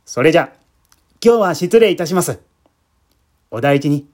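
A man's voice: a little high, expressive, moderate pace, a little mellow, close to an everyday voice. A man voicing a cheerful, polite policeman, a proud family man talking in a chatty, deferential, folksy way in a story reading. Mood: polite, grateful. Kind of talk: literary reading with acted dialogue. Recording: music bed behind the voice.

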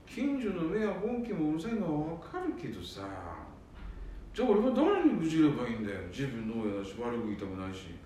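A man's voice: a little high, huge pitch swings, brisk, warm tone. Echoey, grumbling, worn-out salaryman husband, acted by a man. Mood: frustrated, aggrieved. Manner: grumbling, muttering to himself. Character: weary, henpecked middle-aged eldest son and worried salaryman. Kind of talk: amateur radio drama acting. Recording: echoey room, distant mic, faint noise.

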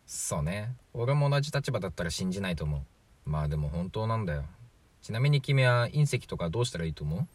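A man's voice: lowish voice, big pitch swings, a little fast, darkish tone, dry recording. A man playing a chatty, flippant 43-year-old from the future in a radio drama, glib and matter-of-fact. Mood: explaining, casual. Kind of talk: amateur radio drama acting.

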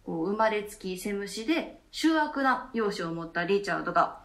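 A woman talks casually as a co-host of a talk show, explaining with enthusiasm at a middling pitch. The room around her is clearly echoey. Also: expressive, normal speed, a bit bright. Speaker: theatre troupe member co-hosting a radio talk show.

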